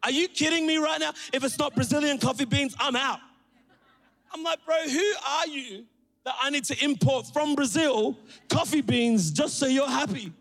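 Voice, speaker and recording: A man from Sri Lanka preaching a sermon in a church, joking and calling out to the crowd with lively energy. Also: very high-pitched, normal speed, gravelly. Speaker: pastor. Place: church auditorium. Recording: reverberant church hall.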